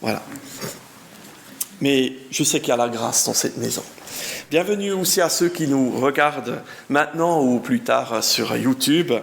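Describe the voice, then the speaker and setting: Brief: Swiss German-accented male pastor, 50s, genial and chatty, gravelly voice, middle pitch. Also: measured pace, a little hiss or hum. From German-speaking part of canton of Bern, Switzerland. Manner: genial, chatty, self-deprecating, reads scripture with lively emphasis. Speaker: guest pastor. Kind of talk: sermon with Bible reading.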